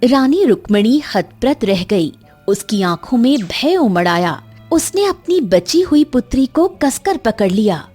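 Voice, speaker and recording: A woman's voice: a little high, sing-song, unhurried, mellow, breathy edge, faint music behind. A woman giving dramatic scripted narration of a cartoon fairy tale. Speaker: story narrator.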